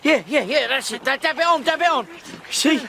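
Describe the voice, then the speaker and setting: An actor playing a rough, cheerful boatman who speaks bluntly and swears freely. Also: very high-pitched, brisk. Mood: jaunty. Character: rough-and-ready boatman, brave, coarse and cheerful. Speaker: boat skipper. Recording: noisy background.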